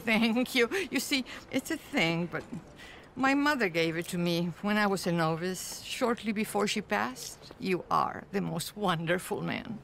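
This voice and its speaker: A tender nun, gushing her thanks. Her slow, bright voice has a gravelly edge and swings up and down. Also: medium pitch, slight background noise. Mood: grateful. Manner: gushing, breathy gratitude. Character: grateful, tender older nun. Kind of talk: video game cutscene acting.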